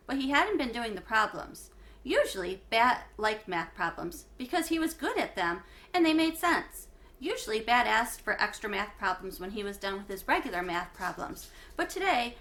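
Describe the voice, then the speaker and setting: A woman reading a children's book aloud like a teacher to schoolchildren, with expressive phrasing and emphasis on key words. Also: mid-range voice. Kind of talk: read-aloud storytelling for schoolchildren.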